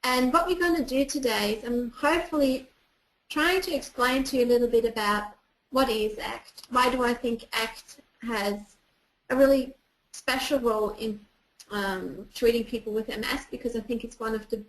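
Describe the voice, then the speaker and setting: Woman, Austrian German accent, high voice, patient explainer, clinical psychologist, echoey room. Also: moderate pace. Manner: explaining patiently, with frequent ums. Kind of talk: online presentation.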